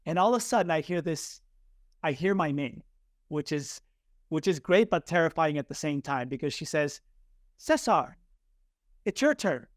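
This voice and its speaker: A man's voice: on the high side, normal speed, pauses often, no room sound, studio quality. A man in his forties, born in Chile with Spanish as his first language, telling a personal story as a podcast guest and acting out the voices he quotes. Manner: animated, acts out quoted voices. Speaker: guest, communication coach.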